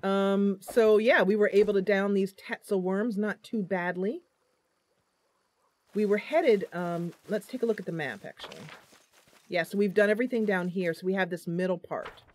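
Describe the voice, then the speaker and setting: A woman's voice: mid-range voice, normal speed, darkish tone. A woman hosting a gaming channel, chatting casually through her gameplay and thinking aloud with lots of fillers.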